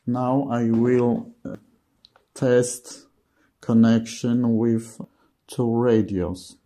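Slow, monotone man with a foreign accent. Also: medium pitch. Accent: non-native English. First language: not English. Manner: halting, hesitant delivery with broken-off words. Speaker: presenter of radio gadget reviews. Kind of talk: product demonstration narration.

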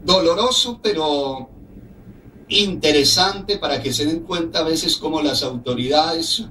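A man's voice: fairly high pitch, unhurried, pushed and bright, slight background noise. Middle-aged man hosting a radio show from a small studio, earnest and indignant, a little far from the mic. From Colombia. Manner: earnest, indignant, speaks as a compatriot. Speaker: radio host. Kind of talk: radio talk show, reading a letter aloud. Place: small office studio with a desk.

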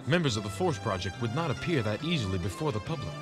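A man's voice: mid-range voice, animated, quick pace, loud music behind the voice, lightly acted. A man voicing a cocky hero agent in a game cutscene, delivering smug wisecracks.

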